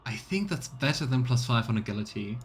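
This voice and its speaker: A man streaming a role-playing game, chatting casually as he plays and rattling off item descriptions in quick bursts. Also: mid-range voice, lively intonation, a little hiss or hum. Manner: thinks aloud, reads item text in quick bursts. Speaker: let's play streamer. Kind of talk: casual gameplay commentary.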